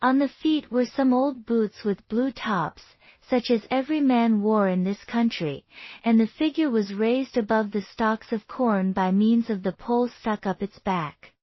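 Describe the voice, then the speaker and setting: High-pitched woman reading a storybook. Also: measured pace, dry recording, lo-fi sound. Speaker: storybook narrator. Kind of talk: scripted bedtime story read-aloud.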